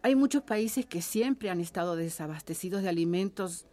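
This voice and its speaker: Actress as an earnest villager, lively intonation. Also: female voice, fairly low pitch, normal speed, natural voice. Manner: persuasive, earnest. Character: earnest, resolute villager urging self-sufficiency. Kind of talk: radio drama acting.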